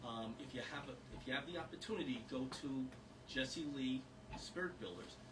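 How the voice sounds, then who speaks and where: A man's voice: medium pitch, moderate pace. A man speaking earnestly to a small audience as a volunteer, with rambling fillers. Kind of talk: informal talk to an audience. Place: small historic house meeting room. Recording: distant mic with background noise.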